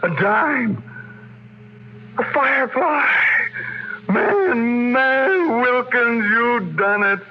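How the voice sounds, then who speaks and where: An actor playing a ruthless, domineering, power-hungry tycoon in a 1950s radio drama. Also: male voice, extremely high pitch, lively intonation, drawn-out words, long pauses, full character voice. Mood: awestruck. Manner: forceful, domineering, bombastic. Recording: muffled, noisy 1950s radio recording.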